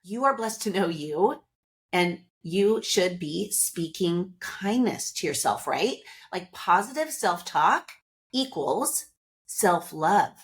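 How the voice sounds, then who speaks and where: A woman's voice: fairly low pitch, lively intonation, slow. Chatty upbeat woman podcast host. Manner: upbeat, chatty, addresses listeners directly. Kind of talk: casual motivational monologue. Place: seated indoors.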